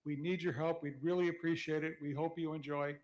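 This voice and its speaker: A man's voice: on the high side, little pitch movement, brisk, a little mellow, rough recording. Man speaking to a concert crowd. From California. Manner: conversational, with small restarts. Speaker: conductor. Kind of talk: addressing a concert audience. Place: outdoor concert.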